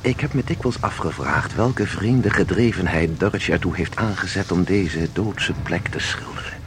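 An actor in a Dutch audio drama playing a composed, knowing guide who explains things in a measured, formal way. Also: male voice, low-pitched, noisy background, natural voice. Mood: absorbed, explaining. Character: composed, knowing male guide through eerie painted worlds.

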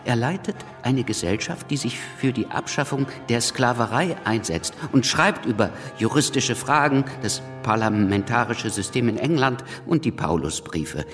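An actor playing an eloquent, ironic writer of the French Revolution, narrating in a German radio drama. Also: male voice, medium pitch, expressive, moderate pace, few pauses, fairly bright tone, big-room sound, faint music behind, close to an everyday voice. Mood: wry, expository.

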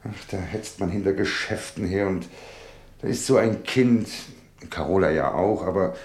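An actor in a German radio play voicing an anxious, tender middle-aged father, speaking in a halting, earnest, pensive way. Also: lowish voice, roomy sound.

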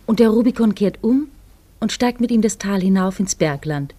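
A woman acting as a co-narrator in a poetic radio play, telling it in a measured, lyrical way. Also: mid-range voice, lively intonation, warm tone, natural voice.

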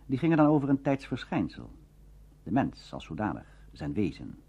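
A man narrating a scripted documentary lecture in a formal, measured manner. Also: medium pitch, wildly swooping pitch, dark tone, breathy edge, muffled recording.

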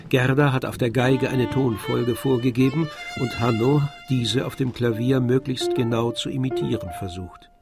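A man's voice: mid-range voice, normal speed, hardly any pauses. A man reading scripted literary narration as the narrator of a radio drama. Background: violin and other music.

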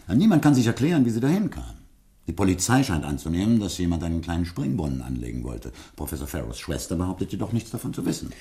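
An actor playing a matter-of-fact man reporting on a case in a radio play, in a measured, dry, explanatory way. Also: sits low, sing-song, dark tone.

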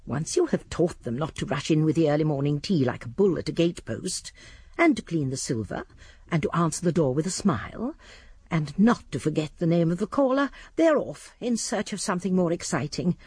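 A genteel elderly English spinster, shrewd and primly judgmental. Her voice is very husky and mid-pitched, rising and falling expressively as she tells her story in a close, dry radio drama recording. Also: lively intonation, a little fast, darkish tone, dry recording, heavily acted. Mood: prim, gossipy. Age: older. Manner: prim, precise storytelling. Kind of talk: first-person radio drama narration.

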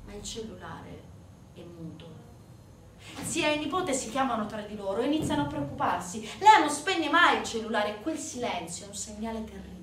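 A woman performing a scripted dramatic monologue as a stage narrator, with measured, weighted phrases. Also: mid-range voice, slight background noise. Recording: roomy, distant sound with faint music.

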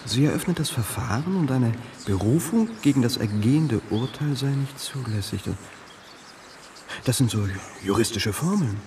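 A man playing a gruff, practical workman in a radio play, muttering and halting as he speaks. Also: medium pitch, expressive, natural voice. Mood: matter-of-fact. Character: gruff, practical male demolition worker absorbed in work. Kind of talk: naturalistic radio play acting. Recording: noisy outdoor ambience with birdsong.

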